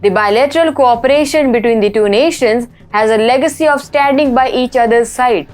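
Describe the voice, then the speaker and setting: A woman with an Indian accent presenting scripted news reports in a formal manner. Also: high-pitched. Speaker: news host.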